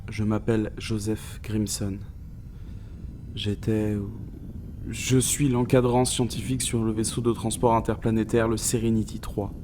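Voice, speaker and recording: A man playing a haunted space-mission scientist in an audio drama, narrating gravely and deliberately with weighted pauses. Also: fairly low pitch, level tone, warm tone, a little hiss or hum, close to an everyday voice. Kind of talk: scripted dramatic first-person narration.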